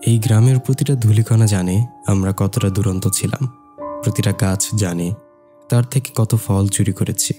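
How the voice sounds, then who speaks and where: A man playing a loyal young village friend who tells a cartoon story in a sentimental, measured way. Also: lowish voice, natural voice. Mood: nostalgic. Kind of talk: dramatized story narration in an amateur cartoon. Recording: music behind the voice.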